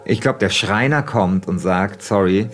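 A man's voice: lowish voice, measured pace. A man chats casually as a podcast co-host, often groping for words. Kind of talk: casual chat. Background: music.

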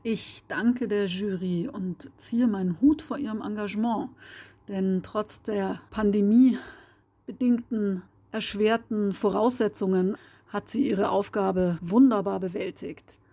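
A woman's voice: medium pitch, steady tone, slow, dark tone, husky, no echo. A woman giving a prepared message of thanks as a radio drama editor.

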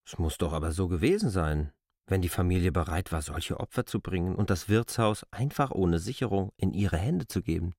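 A man's voice: low voice, lively intonation, brisk, a little mellow, no room sound. A man playing a shrewd, persistent newcomer in a literary radio drama, probing in formal, argumentative speech. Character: persistent, shrewd male newcomer questioning a landlady.

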